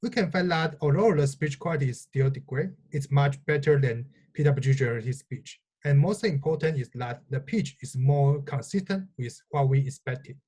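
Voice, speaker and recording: A man presenting research in a scripted, measured, explanatory technical talk. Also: mid-range voice, a little mellow, breathy edge. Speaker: researcher presenting own work.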